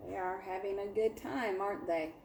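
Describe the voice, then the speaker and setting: A woman reading a children's picture book aloud like a teacher, expressive and dramatic, with drawn-out words and hushed moments. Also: medium pitch, slight background noise.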